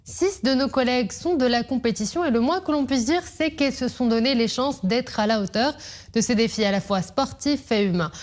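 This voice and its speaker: A woman news anchor reading scripted headlines in a clear, measured delivery. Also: on the high side.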